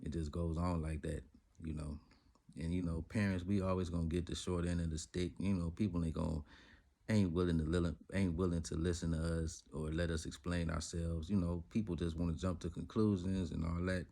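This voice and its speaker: Late-40s man, gravelly and relaxed, chatty vlogger. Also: low voice, a little fast. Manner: laid-back, rambling, laughs often, slangy. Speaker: vlogger, father. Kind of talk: casual vlog talk to camera.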